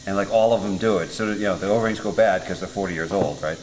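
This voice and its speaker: A man's voice: sits low, fast talker, a little mellow, a little hiss or hum, low-quality recording. A man with a Massachusetts accent, a guest mechanic chatting casually while explaining engine parts in a workshop. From Massachusetts, USA. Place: motorcycle workshop.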